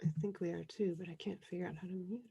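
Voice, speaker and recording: A woman co-hosting an online session, introducing things in an informal, slightly halting way. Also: on the low side, steady tone, creaky, mellow, husky, lo-fi sound. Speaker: co-host presenting an online convention session.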